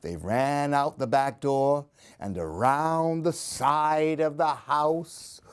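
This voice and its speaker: A man's voice: a little high, slow pace, smooth. A man reading a children's story expressively, in a sing-song way, putting questions to young listeners. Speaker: storyteller reading picture books for a library program.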